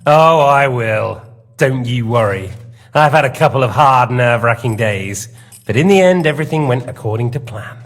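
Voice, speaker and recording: A man's voice: mid-range voice, animated, moderate pace. A villainous nobleman in a dramatic game scene. He taunts with false politeness and smug menace, his mid-range voice swinging expressively as he toys with his captives. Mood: gloating. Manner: mocking, theatrical, mock-polite menace. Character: sadistic, smug villainous lord interrogating prisoners.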